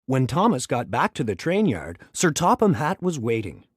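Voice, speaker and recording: A man reading a children's story aloud in an expressive, dramatic storytelling manner. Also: medium pitch, normal speed, husky, no room sound, studio quality. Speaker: storybook reader.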